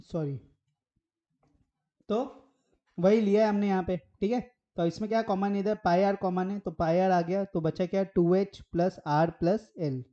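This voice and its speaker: A hoarse man teaching maths at a whiteboard, his voice mellow and a little dark. He works through each step and keeps asking what is left or what comes next. The recording sounds dull and lo-fi. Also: high-pitched, steady tone, measured pace. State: hoarse from a sore throat.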